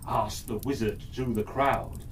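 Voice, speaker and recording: A storyteller narrating a children's fairytale in a theatrical way, pausing often within phrases. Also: medium pitch, distant mic, slight background noise.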